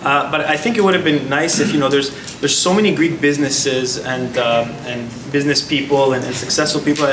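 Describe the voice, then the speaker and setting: A man's voice: mid-range voice, a little fast, few pauses. A man in his thirties, a former athlete, answering onstage interview questions earnestly, with many restarts. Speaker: guest speaker, former athlete. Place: large gala hall. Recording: echoey hall, heard from far off, noisy.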